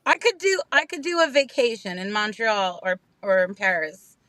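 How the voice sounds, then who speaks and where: A woman's voice: mid-range voice, sing-song, normal speed, fairly bright tone, no echo. A woman co-hosting a casual live stream from inside her parked car, joking and teasing herself as she chats. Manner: joking, self-mocking, chatty. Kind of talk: casual late-night chat.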